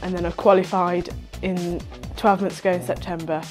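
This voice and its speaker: A woman's voice: lowish voice, little pitch movement, moderate pace, darkish tone, soft background music. A woman in her twenties who works as a nurse, speaking in a documentary interview in an upbeat, matter-of-fact way. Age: 20s.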